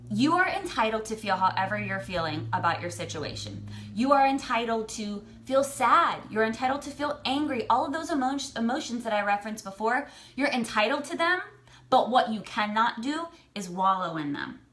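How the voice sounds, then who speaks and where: An American woman with a somewhat high voice speaks as a vlogger giving personal advice. She is emphatic and blunt, and she repeats phrases to drive each point home in a motivational style. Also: lively intonation, normal speed, fairly bright tone. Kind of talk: motivational advice talk to camera.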